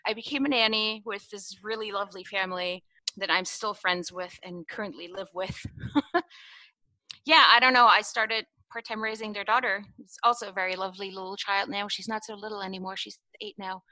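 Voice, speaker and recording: A woman's voice: medium pitch, fairly even intonation, a little fast, bright, forceful tone, no echo, rough recording. A woman in her thirties who grew up in Germany, telling her story in a rambling, chatty way with many fillers and laughs. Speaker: guest. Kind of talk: casual conversational interview.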